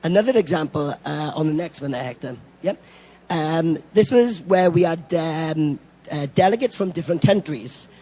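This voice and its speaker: A Welsh man speaks to a conference audience in a hoarse voice that sits high for a man yet sounds mellow and warm. He is upbeat and self-deprecating, with plenty of ums and uhs. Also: animated, moderate pace. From Wales. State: hoarse, lost his voice. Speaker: event technology presenter. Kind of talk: conference presentation. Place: conference hall.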